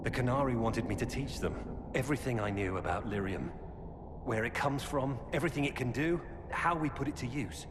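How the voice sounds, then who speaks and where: An actor playing a weary, earnest former knight turned defector, giving grave, urgent warnings in a video game. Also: male voice, mid-range voice, brisk, natural voice. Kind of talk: dramatic video game dialogue. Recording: cavernous reverb with loud background noise.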